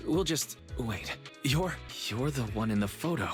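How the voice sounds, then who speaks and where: A man's voice: mid-range voice, animated, a little slow, soft background music, natural voice. A man playing a mild, easygoing young brother in a video game, courteous and a little hesitant.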